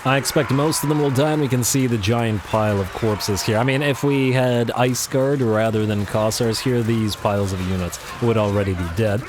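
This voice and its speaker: A man casually commentating on a strategy game he is playing, chatty with lots of fillers, his voice a little raspy from coughing. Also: mid-range voice, normal speed, hardly any pauses, noisy background. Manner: relaxed, chatty, frequent fillers and repeated words. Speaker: let's play commentator.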